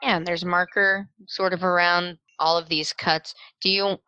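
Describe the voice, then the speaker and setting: A young woman runs a tabletop game in a low but bright, forward voice. She describes scenes casually and haltingly, piecing them together as she goes, and the sound is close and dry. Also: flat delivery, clean tone, no echo, muffled recording. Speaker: game master. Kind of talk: improvised tabletop game narration.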